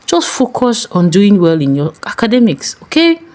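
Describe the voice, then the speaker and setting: A woman playing a smooth, fatherly older Nigerian pastor in a told story. The pitch swings widely as he coaxes, with a familiarity that is quietly predatory. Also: sing-song, moderate pace, natural voice. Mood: fatherly, encouraging. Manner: coaxing, casually over-familiar. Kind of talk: acted dialogue in a narrated folk tale.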